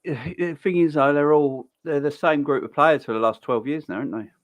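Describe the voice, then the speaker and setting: A young man co-hosting a football watch-along, chatty and quick to laugh while telling stories. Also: fairly high pitch, dry recording, highs cut off. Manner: chatty storyteller, laughs easily. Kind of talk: casual banter.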